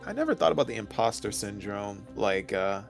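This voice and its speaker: A man's voice: on the low side, big pitch swings, fairly quick, gravelly, faint music behind. A man chatting casually as a guest in a game discussion, commenting and reacting in a joking way.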